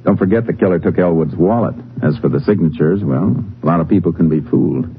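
A methodical Texas Ranger in an old radio western. His voice is very deep, and he narrates and questions calmly and plainly. The sound is narrow and vintage. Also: slight background noise. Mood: businesslike. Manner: measured, matter-of-fact. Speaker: lead actor and narrator. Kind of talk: 1950s radio drama acting and narration. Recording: muffled 1950s radio broadcast recording.

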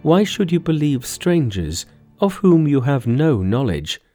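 Guy doing a sad worried queen mum from an old folk tale, voice lifted high, formal and pleading. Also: very animated, a little slow, warm tone, faint music behind. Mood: anxious. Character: sorrowful, anxious queen mother speaking tenderly to her son. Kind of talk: storybook dialogue in a narrated folk tale.

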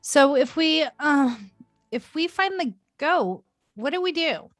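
Woman reading a young mom in a story, caring, unsure, highish voice, music. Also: expressive, slow, a bit bright, no echo, clean studio sound, heavily acted. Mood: uncertain. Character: uncertain but caring young mother.

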